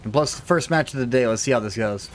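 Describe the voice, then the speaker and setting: A man's voice: medium pitch, quick pace, slight background noise. A man in his early twenties hosting a casual gaming livestream, chatty, laughing often and full of fillers. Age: early 20s. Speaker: game streamer host.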